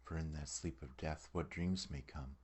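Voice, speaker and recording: Man playing a deep-voiced brooding tragic prince. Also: fairly even intonation, normal speed, darkish tone, slightly rough. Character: brooding, world-weary tragic prince weighing death. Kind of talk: dramatic soliloquy reading, Shakespearean verse.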